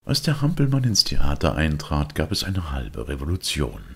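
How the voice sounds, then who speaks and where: A man reading a children's story as an expressive audiobook narrator. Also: a bass voice, normal speed, gravelly, slightly rough.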